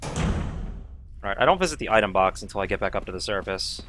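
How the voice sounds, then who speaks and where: A man's voice: lowish voice, animated, fairly quick, background noise. A man casually commenting on his own speedrun and chatting with viewers, swearing now and then when frustrated. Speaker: speedrun streamer.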